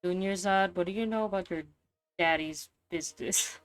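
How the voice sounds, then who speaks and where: A woman's voice: fairly low pitch, normal speed, smooth, no room sound, faint music behind. A young adult woman giving casual, slangy reaction commentary while playing a game. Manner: informal, slangy asides. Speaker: game streamer.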